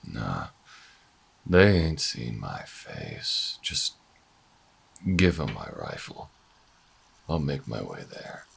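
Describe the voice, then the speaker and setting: A man voicing a grim, proud, weary old revolutionary in a sci-fi audio roleplay, speaking in grave, halting, terse phrases. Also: a bass voice, very animated, creaky, fairly bright tone, husky, voice pushed down, full character voice. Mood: gruff, resigned. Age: older.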